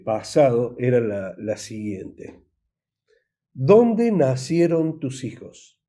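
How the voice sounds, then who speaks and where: A man with an Argentine Spanish accent preaching an informal sermon as a pastor, halting and repeating himself, often posing rhetorical questions. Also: mid-range voice, mellow.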